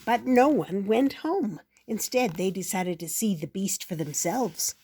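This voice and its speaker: An older woman reading a picture book aloud as the regular storyteller, slow, gentle and expressive. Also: medium pitch, background noise.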